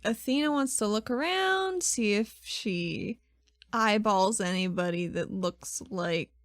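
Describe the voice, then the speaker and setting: Lively woman playing a tabletop game. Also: medium pitch, slow, dry recording, studio quality. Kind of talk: casual game chat.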